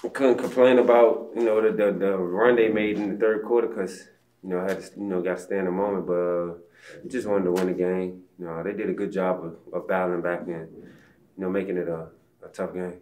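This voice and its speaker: A man's voice: low-pitched, level tone, brisk, darkish tone. A young man, a basketball player, answering post-game questions in a laid-back, casual way with many fillers. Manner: laid-back, casual, lots of "you know". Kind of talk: post-game press conference. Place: press room backdrop, indoors.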